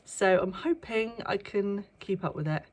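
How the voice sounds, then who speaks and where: A young woman vlogging to camera in a casual chat at her workplace early in the morning, with a bunged-up voice from a cold and lots of ums, sometimes dropping to a hush. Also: mid-range voice, measured pace. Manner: chatty, with frequent ums, sometimes hushed.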